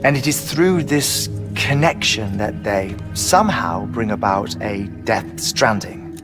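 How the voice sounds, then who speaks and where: Earnest professorial scientist, music behind. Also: male voice, fairly low pitch, sing-song. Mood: intent, explanatory. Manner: measured lecturing, deliberate pauses, professorial. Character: earnest, eccentric male scientist expounding patchy theories. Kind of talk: video game cutscene acting.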